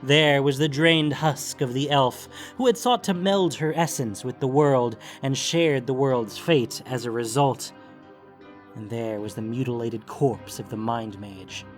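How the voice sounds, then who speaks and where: A man's voice: medium pitch, measured pace. A man giving a solemn, theatrical narration of a dramatic fantasy story. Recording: music under the voice. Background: music.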